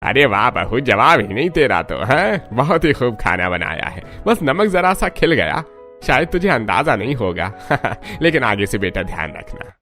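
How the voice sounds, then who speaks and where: A man's voice: mid-range voice, big pitch swings, moderate pace, sharp tone, soft background music. A man playing a genial, paternal middle-aged father-in-law in a cartoon story. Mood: jovial, encouraging. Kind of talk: Hindi cartoon story character acting.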